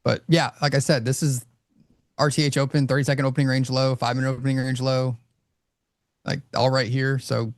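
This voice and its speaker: A man's voice: mid-range voice, flat delivery, brisk, no room sound, clean studio sound. A man casually talking through his trades on a live stream, rambling with lots of fillers. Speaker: trader host. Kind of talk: live trading commentary.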